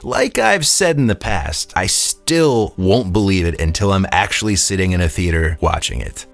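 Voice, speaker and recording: A man's voice: low-pitched, lively intonation, moderate pace, vocal fry, a bit bright, soft background music. A man narrating a scripted video essay.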